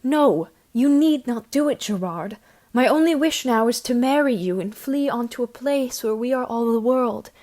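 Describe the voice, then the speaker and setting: Actress for lovelorn young lady in period audio drama; high voice, devoted, stagey formal diction. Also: expressive, normal speed, mellow, natural voice. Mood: pleading, tender.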